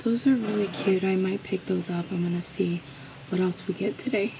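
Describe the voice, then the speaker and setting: A woman's voice: medium pitch, fairly even intonation, moderate pace, creaky. A woman narrating a casual shopping vlog while browsing an antique mall, chatty and admiring, with frequent ums, recorded on a handheld camera. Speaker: reseller vlogger. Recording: fan noise in the background.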